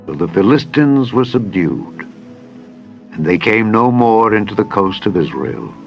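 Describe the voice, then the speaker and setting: A man narrating scripture in a dramatized style, with a solemn, measured delivery. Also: lowish voice, expressive, dull audio. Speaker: Bible narrator. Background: music.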